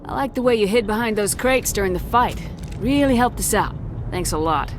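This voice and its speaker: An actress playing a blunt military commander with sarcastic, cutting remarks, over game music. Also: female voice, mid-range voice, expressive, moderate pace, noisy background, natural voice. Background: game score music.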